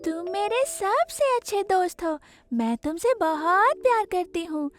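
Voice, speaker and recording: A woman voicing an earnest, pleading little girl in a cartoon, with a childlike drawn-out delivery. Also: very high-pitched, lively intonation, soft background music. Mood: affectionate. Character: tearful, pleading little girl who loves her dog.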